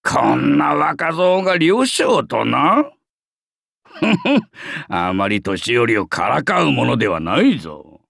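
A man voicing a wry old mystic in an anime game, raspy, with archaic, theatrical speech. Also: mid-range voice, sing-song, slow, a bit bright, dry recording, studio quality, heavily acted. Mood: amused, teasing. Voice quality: raspy, creaky. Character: wry old bearded mystic offering medicine. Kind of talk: anime game character acting.

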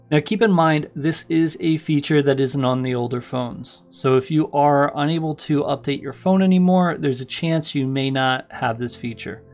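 Guy doing a casual how-to, creaky voice, bit of music behind him. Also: medium pitch. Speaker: tutorial host. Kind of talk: casual tech tutorial narration. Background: background music.

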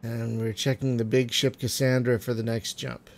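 A man getting over a cold, phlegmy and clearing his throat, chatting casually through a game with many ums and trailing remarks. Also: medium pitch, little pitch movement, moderate pace, a little hiss or hum. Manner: chatty, frequent ums and uhs, trailing off quietly. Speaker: gaming video host. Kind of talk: casual let's-play commentary.

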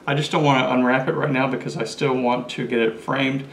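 A man's voice: medium pitch, fairly even intonation, a little fast, creaky. A man in his forties chatting casually to camera as he holds up his collectibles one by one, recorded at a desk in a small room. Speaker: collector vlogger. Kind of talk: casual show-and-tell vlog. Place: small indoor room with curtains. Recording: roomy echo, faint room hiss.

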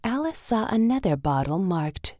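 A woman's voice: medium pitch, sing-song, moderate pace, a little mellow, no room sound, clean studio sound. A woman narrating a children's read-along storybook in a scripted, story-reading style.

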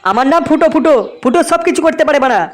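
A man's voice: very high-pitched, lively intonation, rapid-fire. A man voicing a cheeky, nagging, foul-mouthed cartoon son. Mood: pleading, defiant. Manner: nagging, boastful, cursing. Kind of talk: comic cartoon dubbing.

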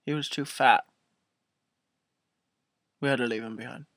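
Slow-talking, melodramatic young man. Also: medium pitch, fairly even intonation, no echo, studio quality. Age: 20s. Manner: melodramatic, mock-emotional, halting, with sudden swells and hushes. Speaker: video blogger. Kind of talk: comic storytelling monologue to camera. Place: small furnished room indoors. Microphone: built-in webcam mic up close.

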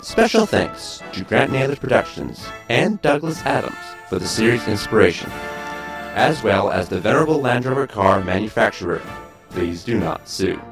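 Creaky male narrator over music. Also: fairly low pitch, very animated, normal speed. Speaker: narrator reading credits. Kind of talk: scripted narration, tongue-in-cheek.

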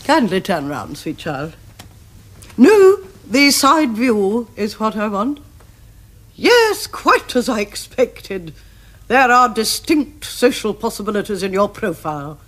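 Casting: older upper-class English Victorian aunt, domineering and pompous, highly animated pitch, period TV comedy. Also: female voice, slow. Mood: appraising, commanding. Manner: imperious, pompous, precise diction. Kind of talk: stage-like period comedy acting.

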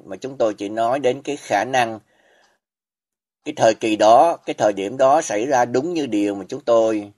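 A man preaching a scripted sermon as a voice-over, reading in a solemn, measured way with emphatic pauses. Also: mid-range voice, a little mellow.

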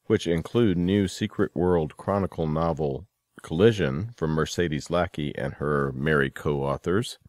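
A man's voice: low-pitched, dry recording. A man hosting a podcast, reading promotional announcements and introductions. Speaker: podcast host.

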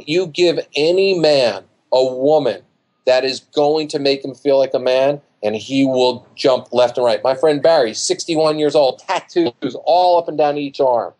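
A man's voice: medium pitch, unhurried, darkish tone. A man in his fifties giving casual, colloquial advice on a video call, emphatic and repetitive, acting out quoted remarks. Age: 50s. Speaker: dating coach, interview guest. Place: living room at home, indoors. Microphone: computer mic on a video call.

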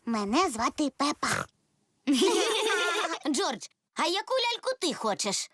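A woman's voice: high-pitched, very animated, slow, fairly bright tone, exaggerated voice. A woman voicing a playful, cheerful little girl piglet in a children's cartoon. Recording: music behind the voice.

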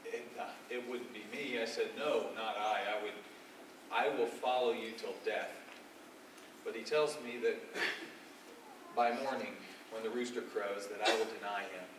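A man playing an earnest, humbled fisherman apostle in a stage monologue, with halting, reflective and emphatic delivery. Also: on the low side, fairly even intonation, natural voice. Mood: troubled, humbled. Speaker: stage actor. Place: dark theatre stage, recorded from the audience. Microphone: far camera. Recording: distant, echoey, noisy stage recording.

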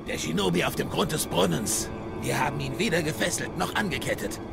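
An actor playing an uneasy, questioning guard soldier in an audio drama, with a very gravelly voice. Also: male voice, fairly high pitch, animated, brisk, loud music behind the voice. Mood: concerned. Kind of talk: German audio drama acting.

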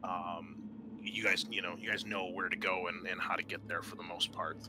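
A man running a tabletop roleplaying game as game master, narrating in a halting, improvised way. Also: low-pitched, flat delivery. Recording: noticeable background noise.

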